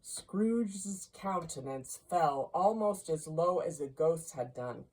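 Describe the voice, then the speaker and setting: A woman reading a classic story aloud as expressive, deliberate narration. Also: low-pitched, far from the mic, rough recording. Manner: measured, deliberate. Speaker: storybook reader.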